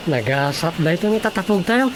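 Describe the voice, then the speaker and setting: Radio drama role: earnest grown child of fisherfolk, lowish female-acted voice, clear background noise. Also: expressive, brisk, lightly acted. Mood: pleased. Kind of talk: Ilocano radio drama acting. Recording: noisy radio drama track.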